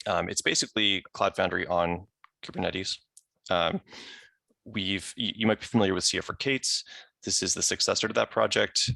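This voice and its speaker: Low, creaky man presenting. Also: sharp tone, dry recording. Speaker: software engineer presenting. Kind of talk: informal technical presentation. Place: remote video call.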